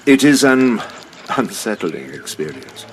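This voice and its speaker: A courteous, composed male general in an audio drama who speaks formally. His pitch sweeps widely, and faint music plays underneath. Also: very animated, unhurried.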